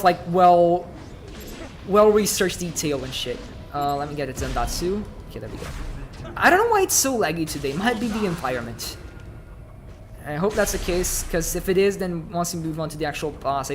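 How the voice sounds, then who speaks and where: A man from Brazil with a light Brazilian accent, chatting casually and rambling with many fillers while livestreaming a game. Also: fairly high pitch. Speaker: streamer.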